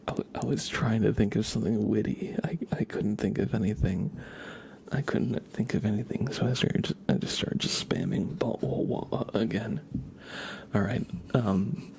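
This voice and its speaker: A young man giving a soft, whispered ASMR tarot reading while chatting in a relaxed, rambling way with viewers. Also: fairly low pitch, normal speed, gravelly, breathy edge.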